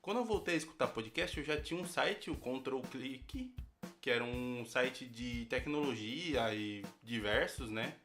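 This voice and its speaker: A man's voice: medium pitch, expressive, measured pace, fairly bright tone. A Brazilian man, a podcast host, giving a casual recorded testimonial as a guest. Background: music.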